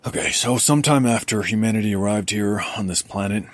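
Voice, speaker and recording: A man's voice: on the low side, brisk, lightly acted. An actor playing a guarded, weary male survivor and chronicler in an audio drama, speaking in a hesitant, conversational way. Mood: grave, explaining.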